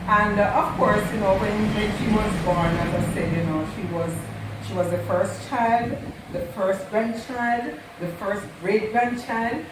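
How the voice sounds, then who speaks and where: A woman, the host's mother, fondly telling stories about her daughter's childhood at an unhurried pace and repeating herself for emphasis. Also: medium pitch. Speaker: mother of the channel host, guest. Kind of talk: casual storytelling. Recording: echoey room, distant mic, noisy.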